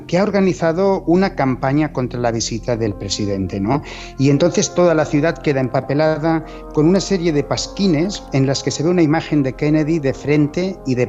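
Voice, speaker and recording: A man's voice: mid-range voice, mellow. Dull-sounding recording of a male commentator. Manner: tags points with questioning checks. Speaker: expert commentator. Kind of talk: conversational explanatory commentary. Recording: dull, limited-band interview audio.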